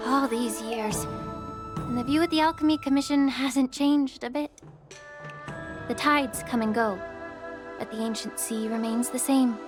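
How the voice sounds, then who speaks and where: A woman voicing a calm, gracious young woman alchemist in a game, speaking gently and reflectively. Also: fairly high pitch, unhurried, long pauses, natural voice. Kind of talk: game voice acting. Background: game music.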